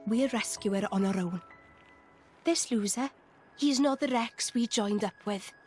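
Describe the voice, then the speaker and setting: A woman's voice: a little high, a little slow, a little mellow, soft background music, natural voice. A woman playing a brash, fed-up young adventurer in a game cutscene, blunt and scornful. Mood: exasperated.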